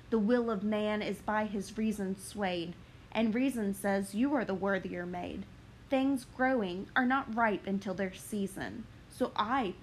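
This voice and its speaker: A woman voicing an ardent young man in love, reading verse in a slow, earnest way. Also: medium pitch, slight background noise, natural voice, low-quality recording. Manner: earnest, measured verse delivery. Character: ardent young man, lovestruck and smooth-talking.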